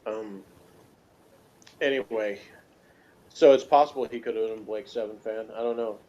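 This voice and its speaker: A man's voice: on the low side, normal speed, darkish tone. A man co-hosting a review in casual chat, with a wry, joking way of talking. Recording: slightly dull, lo-fi sound.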